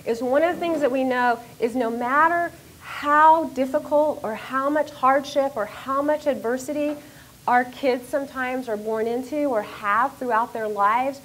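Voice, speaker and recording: Loud, animated woman lecturing. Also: sits high, measured pace, rough recording. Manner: loud, animated, self-deprecating. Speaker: university professor and speaker. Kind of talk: lecture to an audience. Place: lecture room. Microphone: handheld or stand microphone.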